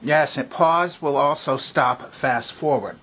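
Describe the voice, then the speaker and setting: A man at a workbench giving a calm, methodical hands-on demonstration of an old stereo system, speaking from behind the camera. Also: medium pitch, slow, husky. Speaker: vintage electronics restorer.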